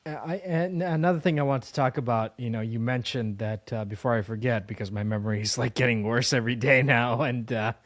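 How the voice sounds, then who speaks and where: A man's voice: medium pitch, fairly quick, hardly any pauses, dry recording, studio quality. A man hosting an interview in a casual, rambling way, with a fan's enthusiasm. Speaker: interview host.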